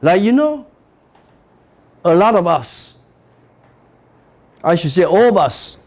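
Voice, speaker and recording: A middle-aged Taiwanese man lectures a university class in accented English, unhurried and deliberate. His pitch sits a little high and swings widely as he leans on key words. He peppers his points with "you know" and "okay.". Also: big pitch swings, darkish tone.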